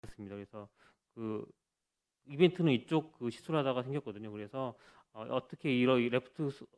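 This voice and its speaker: A man at a conference podium walks through a medical case, speaking into the microphone in a mid-pitched voice. He talks haltingly, with frequent fillers and self-corrections. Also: a little mellow. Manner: hesitant, many fillers and restarts. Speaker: cardiologist presenting a case. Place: conference hall stage.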